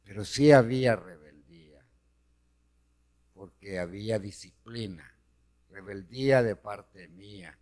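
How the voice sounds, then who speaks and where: A middle-aged man from Honduras, giving an earnest personal testimony of faith, slow and deliberate. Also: on the low side, lively intonation, gravelly, a little mellow.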